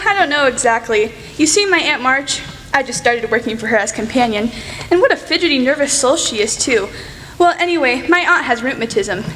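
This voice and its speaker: A young woman playing an outspoken, excitable teenage girl in a radio play, gushing and rambling bluntly. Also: high voice. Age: teenager or young adult. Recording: echoey hall, faint noise.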